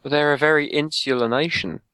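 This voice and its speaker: A man's voice: a little high, fairly even intonation, brisk, bright, forceful tone, smooth, dry recording. A man co-hosting a casual podcast chat, joking and riffing with friends. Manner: joking, puts on silly accents. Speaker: podcast co-host.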